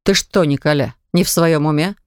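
A woman doing a gruff exasperated father. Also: sits low, normal speed, a little mellow, no echo, clean studio sound, pitched below the performer's own voice, lightly acted. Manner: stern, exasperated, lecturing. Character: irritable tired father of a young boy. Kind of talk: audiobook character reading.

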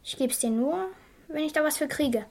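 High-voiced, stubborn young girl. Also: normal speed, darkish tone. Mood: matter-of-fact. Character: cool, stubborn young girl demanding money. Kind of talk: German radio crime drama acting.

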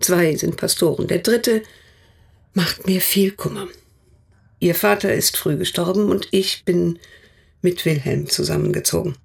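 A woman's voice: on the low side, expressive, a little slow, vocal fry, slightly rough, heavily acted. An actress playing a stern older woman, a mother of three grown sons, who speaks in a guarded, cool and matter-of-fact way in a radio drama.

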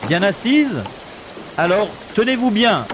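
A man's voice: high-pitched, sing-song. A man playing a sardonic special agent on the run in a French audio drama, talking half to himself. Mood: resigned, resolute. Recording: noisy, with rushing water effects.

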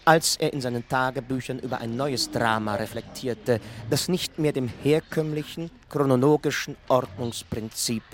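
Mid-pitched man, formal scripted narration. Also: moderate pace, hardly any pauses, a little hiss or hum. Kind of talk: scripted lecture-like radio narration.

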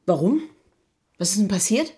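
A woman's voice: medium pitch, big pitch swings, moderate pace, dark tone, breathy edge. A woman acting a wary, sardonic city woman in an audio drama, with a naturalistic, dryly ironic delivery. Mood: sardonic, skeptical.

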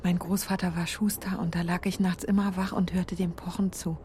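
Actress as a hushed, low-voiced young widow. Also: female voice, flat delivery, fairly quick, background noise, lightly acted. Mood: hushed, reminiscing. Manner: hushed, partly whispered, intimate. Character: resolute young widow murmuring confidences at night. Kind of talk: literary audio drama dialogue.

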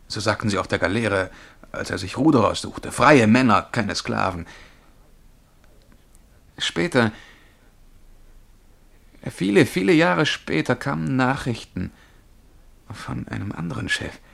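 A dreamy young male clerk in a German radio drama. His voice sits at a middling pitch with a gravelly fry, and he recounts his memories haltingly, with dramatic weight. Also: expressive, a bit bright, full character voice. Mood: hushed wonder. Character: Charlie, dreamy young bank clerk recalling past lives. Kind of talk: German radio play acting.

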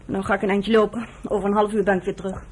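A woman's voice: medium pitch, little pitch movement, very fast, breathy edge, close to an everyday voice, rough recording. An actress playing a weary, bitter woman who nurses the man she loves, in a reproachful, emotional radio drama style. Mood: businesslike.

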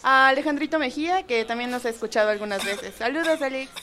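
Joven locutora mexicana, charla juguetona. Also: female voice, sits high, fast, bright, forceful tone, a little hiss or hum. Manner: chatty, playful, giggly. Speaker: radio co-host. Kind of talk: casual radio chat. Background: music.

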